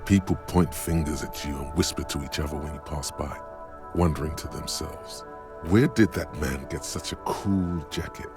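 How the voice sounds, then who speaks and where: Super low brooding narrator voice for a detective game, grand and theatrical, music under it. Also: male voice, moderate pace. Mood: grandiose flattery. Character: brooding male inner voice and narrator of a detective's mind. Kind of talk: scripted game narration, second person. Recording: music behind the voice.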